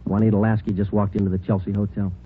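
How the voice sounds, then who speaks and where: Deep-voiced, deadpan American police sergeant played by a man. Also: quick pace, narrow, tinny audio, lightly acted. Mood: matter-of-fact. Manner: clipped, deadpan. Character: terse, world-weary male police sergeant. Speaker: lead actor and narrator. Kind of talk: 1940s radio detective acting. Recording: old 1940s radio recording, music behind.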